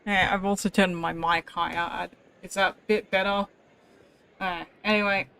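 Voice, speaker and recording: A woman's voice: fairly low pitch, fairly even intonation, normal speed, creaky, fairly bright tone, clean tone. A woman streamer giving casual commentary while playing a game. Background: game music.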